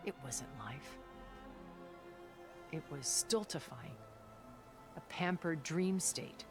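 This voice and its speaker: An actress voicing a cultured, centuries-old woman recluse in a video game cutscene, speaking in a calm, deliberate and elegant way. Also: deep voice. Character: cultured, ancient woman recluse, measured and regretful. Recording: game cutscene with music underneath.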